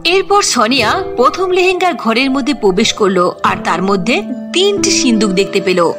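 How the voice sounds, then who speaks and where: A woman's voice: a little high, very animated, normal speed. A woman narrating a scripted children's fairy tale as storyteller. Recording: clear music under the voice.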